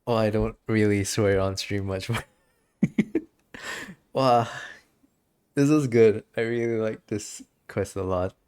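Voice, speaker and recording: A man livestreaming a game, reacting casually and excitably and sometimes reading the text aloud. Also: on the low side, slow pace, pauses often, smooth. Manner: excitable, giggly, stumbles when reading. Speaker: game streamer.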